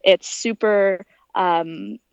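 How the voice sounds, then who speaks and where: A woman's voice: on the low side, sing-song, extremely slow, clean tone, no echo, muffled recording. A young adult woman giving conversational, explanatory answers as a guest in an interview. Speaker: interview guest, aspiring astronaut.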